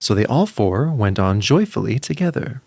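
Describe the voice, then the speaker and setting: Animated male fairy-tale storyteller. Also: mid-range voice, moderate pace, creaky, slight background noise. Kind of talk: scripted fairy-tale narration.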